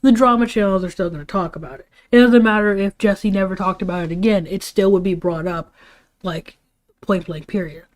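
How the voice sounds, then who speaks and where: A woman's voice: mid-range voice, fairly quick, darkish tone. A tired woman talking casually on a livestream, giving her opinions in an informal, rambling way with some casual swearing. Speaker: livestream host.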